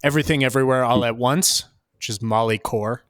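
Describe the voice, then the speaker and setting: Joking mid-pitched man co-hosting. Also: fairly quick, a bit bright, clean tone, dry recording, clean studio sound. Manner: joking, playful banter. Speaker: podcast co-host. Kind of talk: casual podcast chat.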